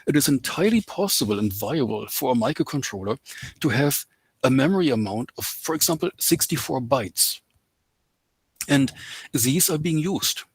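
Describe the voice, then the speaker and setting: A man lectures in English that is not his first language, pausing often with ums and uhs. His voice is slightly husky and mid-pitched. The sound is dry and close, like a call mic. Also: animated, no room sound, low-quality recording. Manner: hesitant, many ums and uhs. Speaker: guest lecturer. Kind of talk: open lecture over video call. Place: home in Canada.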